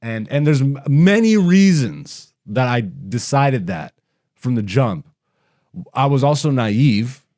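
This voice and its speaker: A man's voice: mid-range voice, expressive, takes it slowly, warm tone. A man from Canada, a stand-up comedian hosting a podcast, talks in a casual, blunt, slangy rant full of swearing. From raised in Canada.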